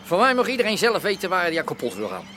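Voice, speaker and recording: A combative, brash criminal trader in a radio drama. His voice is high and forceful, swinging widely in pitch as he pushes his case. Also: wildly swooping pitch, fast talker, a little hiss or hum, heavily acted. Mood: brash, persuasive. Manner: brash, persuasive, challenging. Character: pushy, combative male underworld dealer. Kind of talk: Dutch radio drama acting.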